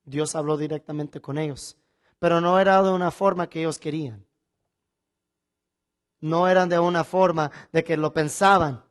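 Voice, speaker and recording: Man preaching with deliberate, emphatic phrasing. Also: a little high, fairly even intonation, smooth. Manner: deliberate, emphatic phrasing with rhetorical questions and repetition. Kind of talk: Bible teaching sermon.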